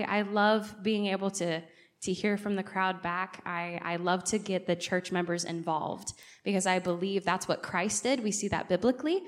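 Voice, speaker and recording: Woman preaching a conversational, interactive sermon. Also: medium pitch. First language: English. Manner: engages listeners with frequent direct questions. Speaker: guest preacher. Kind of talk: conversational church sermon with questions to the congregation. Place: church, speaking to a congregation.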